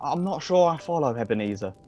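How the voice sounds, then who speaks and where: An actor playing a meek, polite, good-hearted Victorian clerk in a radio play. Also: male voice, on the high side, expressive, a little fast, a little mellow, soft background music. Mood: cheerful.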